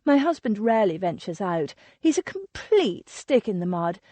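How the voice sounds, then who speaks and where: A catty, gossiping young lady of Regency society, high and affected, swooping through her words, as a woman narrator puts her on. Dry, close recording. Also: sing-song, moderate pace, warm tone, dry recording.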